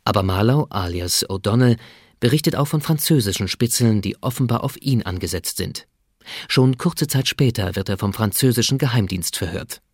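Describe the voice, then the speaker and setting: A man's voice: lowish voice, breathy edge, no echo. Sober male narrator, close and dry. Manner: sober, factual. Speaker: narrator and announcer. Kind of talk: scripted radio drama narration.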